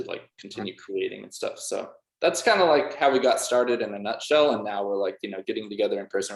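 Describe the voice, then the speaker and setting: A man with a laid-back, rambling way of talking, full of "like", answering casually as a musician in an interview. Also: on the low side. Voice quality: casual, filler-heavy speech with frequent "like". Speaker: band member and music producer being interviewed. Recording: roomy, echoey sound.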